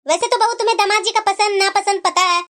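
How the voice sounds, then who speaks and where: A woman's voice: very high-pitched, fairly even intonation, fast, a bit bright, voice pushed down. A woman voicing a fussy elderly mother-in-law who bosses the household in a cartoon. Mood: bossy. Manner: bossy, scolding. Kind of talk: cartoon voice acting.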